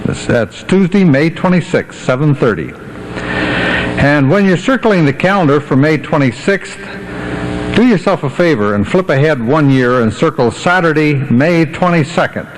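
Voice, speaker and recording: A man's voice: a little high, expressive, unhurried. An American man emceeing a live stage show, making casual announcements in a folksy, dryly joking way. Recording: noisy live stage recording.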